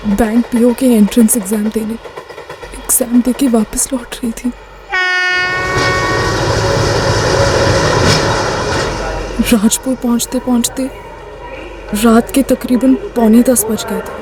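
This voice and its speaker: Actress playing young female victim, high pitch, halting, shaken, TV crime drama, slightly distant with clear noise. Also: fairly even intonation, lightly acted. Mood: subdued, shaken. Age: young adult. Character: shaken young woman, a crime victim giving her account. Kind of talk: TV crime drama acting, first-person account. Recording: off mic with clear background noise.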